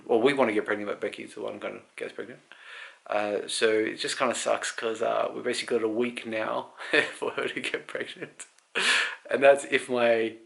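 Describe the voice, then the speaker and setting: A man's voice: medium pitch, creaky. A man in his thirties giving a casual, unscripted personal update, candid and rambling as he thinks aloud. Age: 30s. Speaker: vlogger.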